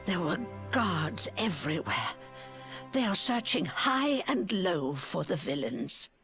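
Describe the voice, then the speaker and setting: An actress playing a kindly, motherly nun in a video game, speaking gently and soothingly over game music. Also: fairly low pitch, very animated, slow, full character voice. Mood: gentle. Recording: game audio with loud music behind.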